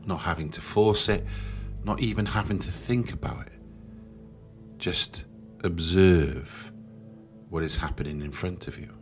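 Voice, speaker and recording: Male meditation guide, deep voice, soothing and unhurried, leaving long pauses, soft music underneath. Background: background music.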